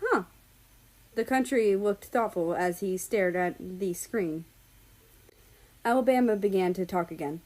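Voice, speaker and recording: A woman's voice: medium pitch, a little slow, a little mellow, poor audio. Woman reading a story aloud. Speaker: fan fiction reader. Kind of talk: storybook narration with acted dialogue.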